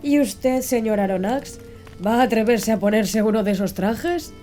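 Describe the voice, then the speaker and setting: A woman's voice: fairly high pitch, normal speed, mellow, faint music behind, natural voice. A woman voicing a stubborn, skeptical male harpooner in an audiobook, blunt and wary. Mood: wary, reluctant. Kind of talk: audiobook character dialogue.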